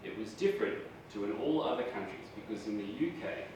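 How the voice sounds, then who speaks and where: A man's voice: lowish voice, fairly quick, a little mellow. A man with an Australian accent giving a university lecture, chatty and self-deprecating, often asking the audience questions. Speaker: guest lecturer. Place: large lecture hall. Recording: echoey hall, voice from far off, noisy.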